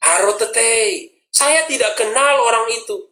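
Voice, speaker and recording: A man's voice: very high voice, a little slow, sharp tone, reverberant, pitched above the performer's own voice, exaggerated voice. A man voicing a frightened village man who nervously denies knowing someone, in a local dialect. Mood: nervous denial.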